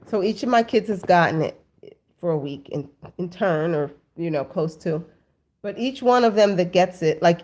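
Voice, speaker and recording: A woman's voice: lowish voice, darkish tone. A woman in her thirties hosting a casual talk for her viewers. She has a cold and sounds stuffy and sniffly, and she talks in a loose, chatty way full of "like" and "um". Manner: chatty, lots of "like" and "um", addresses viewers as family. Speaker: host.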